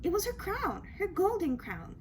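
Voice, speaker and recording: A woman reads a children's storybook in a high-pitched, very animated voice. She is expressive and dramatic, leaning on questions and little asides. There is some noise behind her. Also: moderate pace. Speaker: storybook reader. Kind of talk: expressive children's read-aloud.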